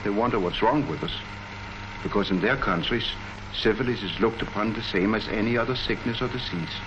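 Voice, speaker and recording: Earnest low-voiced 1940s colonel played by a man. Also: a little fast, natural voice. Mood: earnest, explanatory. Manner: earnest, declamatory, rhetorical. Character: earnest male army colonel presenting public health facts. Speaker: presenter. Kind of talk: 1940s public education film narration. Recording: muffled, noisy 1940s film soundtrack.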